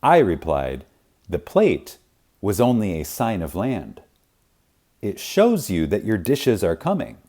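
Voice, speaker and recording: A man narrating a spiritual audiobook in a reverent, emphatic manner, with deliberate pauses. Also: on the low side, wildly swooping pitch, dark tone. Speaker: audiobook reader. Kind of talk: scripted narration of a spiritual self-help audiobook.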